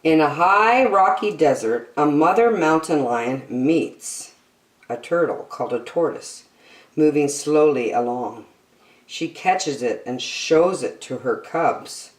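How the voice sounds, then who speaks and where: A woman's voice: low voice, animated, takes it slowly, roomy sound, poor audio. A woman hosting story time, reading a picture book aloud to young children expressively, with chatty asides and questions.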